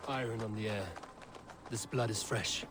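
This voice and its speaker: An actor playing a stoic male Viking clan warrior in a video game, speaking in a measured, formal and terse way. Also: lowish voice, steady tone, very noisy, close to an everyday voice. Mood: grave.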